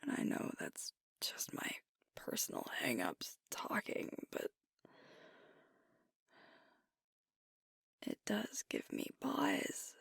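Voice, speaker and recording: A woman playing a young, earnest, self-doubting alpha werewolf pack leader in an intimate ASMR roleplay, speaking softly and hesitantly in a breathy half-whisper. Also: rumbling low, huge pitch swings, no room sound, studio quality, exaggerated voice. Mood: worried, vulnerable. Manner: hesitant, confiding, slow and reflective. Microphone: close mic, dry.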